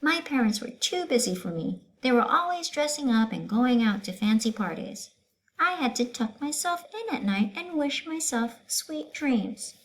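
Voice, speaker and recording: A long-suffering schoolteacher from a children's storybook, played by a woman reading aloud. She is aggrieved and emphatic, pushing her voice well up high as she complains. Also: lively intonation, moderate pace, poor audio. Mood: hurt, aggrieved.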